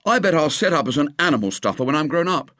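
A man's voice: fairly high pitch, expressive, fast talker, a bit bright, no echo, clean studio sound, voice pushed up. A man playing a cocky, breezy schoolboy. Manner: eager, breezy and confident. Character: cocky schoolboy ringleader, brash and sure.

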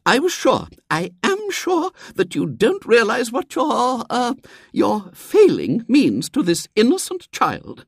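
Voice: mid-range voice, wildly swooping pitch, takes it slowly, creaky, slightly rough, no room sound, clean studio sound, voice pushed up, heavily acted. A storyteller voicing a prim elderly lady in an audiobook, earnest, preachy and fussily sympathetic. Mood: righteous reproach.

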